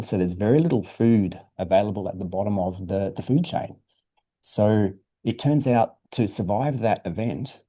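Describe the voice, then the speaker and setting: Man, low pitch, very dark mellow tone, science presenter, informal explanations, encouraging. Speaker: science presenter answering questions. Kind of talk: informal live science explanation for young audience.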